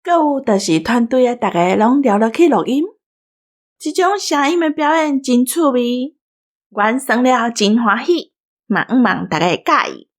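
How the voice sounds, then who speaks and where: Taiwanese lady w a high voice chatting casually to her listeners, thanks-and-goodbye kinda talk. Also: animated, normal speed. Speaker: podcast team member and audio engineer.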